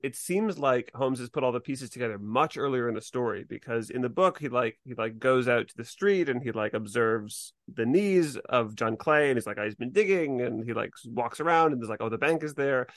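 A man with a medium-pitched voice talks casually as a podcast co-host. His delivery is chatty and conversational, full of "like" as he works through his thoughts. The sound is dry, with little room around it. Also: animated, a little fast, few pauses, a bit bright, no echo. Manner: chatty, frequent "like" and hedging. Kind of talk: casual chat discussing a TV series.

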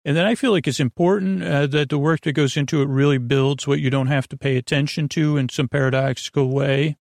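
A man's voice: fairly high pitch, fairly even intonation, fairly quick, creaky, no echo, clean studio sound. A man hosting a bedtime podcast, talking in a meandering, hesitant, soothing way that keeps drifting into digressions. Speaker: podcast host. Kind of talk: rambling bedtime story podcast monologue.

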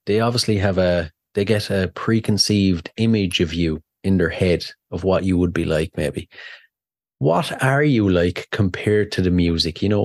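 A man hosting an interview, chatty and quick to laugh. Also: low voice, vocal fry, dry recording, clean studio sound. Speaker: podcast host. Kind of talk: casual interview.

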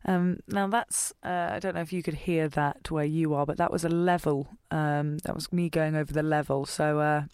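A woman's voice: deep voice, fairly even intonation, moderate pace, few pauses, a little mellow, clean tone, no echo, studio quality. A woman voice actor chatting casually and self-deprecatingly while testing her microphone levels.

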